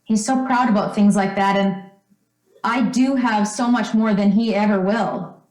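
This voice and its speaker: A woman's voice: mid-range voice, steady tone, measured pace, a little mellow, soft background music, lightly acted. A woman playing a doting, trusting, well-off mother in an old-time radio drama, speaking in a fond, fussing, chatty way. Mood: earnest, confiding. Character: doting, trusting, wealthy mother of a teenage son. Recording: roomy, echoing stage-like sound.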